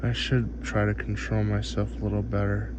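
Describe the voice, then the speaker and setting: A man playing a seductive, hungry vampire master in a soft-spoken ASMR roleplay, with drawn-out, sighing, murmured speech. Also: fairly low pitch, completely flat delivery, lightly acted. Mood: apologetic, thirsty. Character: hungry, seductive male vampire, doting yet domineering master. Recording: dull, muffled audio with clear background noise.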